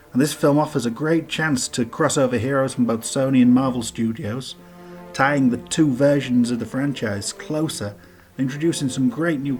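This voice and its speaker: A man giving informal scripted narration as the host of a countdown list video. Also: mid-range voice. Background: background music.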